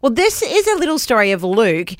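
A woman's voice: on the high side, very animated, fairly quick, no echo. Aussie lady cohosting morning radio, bubbly, laughs a lot, dry close studio mic. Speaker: radio show co-host. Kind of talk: casual breakfast radio chat. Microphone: studio microphone.

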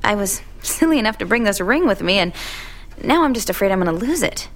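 A woman's voice: medium pitch, animated, brisk, fairly bright tone. An actress playing a chatty, flirtatious but evasive single woman in an audio drama, with a coy, teasing manner. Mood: apologetic, confiding. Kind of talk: naturalistic audio drama acting.

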